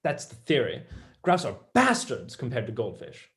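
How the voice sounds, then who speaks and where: A young man playing a passionate, blunt, excitable mathematician in a staged play reading. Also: mid-range voice, normal speed. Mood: dismissive. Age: young adult.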